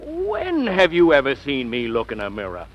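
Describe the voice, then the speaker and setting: A man's voice: mid-range voice, wildly swooping pitch, normal speed. An actor playing a stern, grumpy middle-aged father, curt and scolding, in 1940s radio style. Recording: dull old radio broadcast recording.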